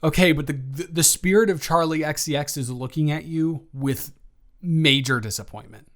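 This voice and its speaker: A man playing a flustered, evasive young roommate in an improvised audio roleplay, rambling and halting with theatrical exasperation. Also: a little high, fairly bright tone, lightly acted. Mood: nervous stalling. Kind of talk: improvised intimate roleplay monologue.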